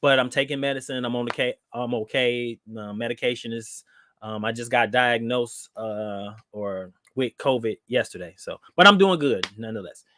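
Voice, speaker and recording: A man's voice: mid-range voice, normal speed, no room sound. A man hosting a live online discussion show, talking casually with many fillers, a little nasal from a cold. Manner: relaxed, with frequent fillers and restarts. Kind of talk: casual live-stream talk.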